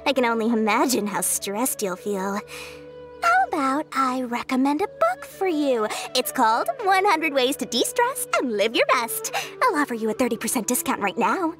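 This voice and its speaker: A woman's voice: high voice, wildly swooping pitch, normal speed, a bit bright, heavily acted. A woman voicing a small, shrewd, money-loving female merchant in a video game, with wheedling, theatrical sales patter. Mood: sly, persuasive. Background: music.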